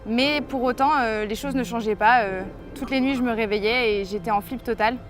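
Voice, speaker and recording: A woman's voice: a little high, moderate pace, faint music behind. Young woman, earnest personal testimony. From France. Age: 20s. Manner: earnest, hesitant, reflective storytelling. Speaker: person giving a faith testimony. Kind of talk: personal testimony, told to camera.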